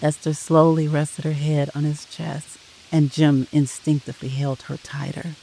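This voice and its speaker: A narrator reading a scripted audio drama in a solemn, sentimental, deliberate way. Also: mid-range voice, level tone, gravelly, mellow, a little hiss or hum, low-quality recording.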